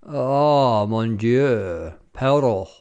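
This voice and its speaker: Tipsy sentimental soldier, voiced by a man, unhurried, doting, mid pitch, storybook character. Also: expressive, a little mellow, clean tone, lo-fi sound. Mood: curious.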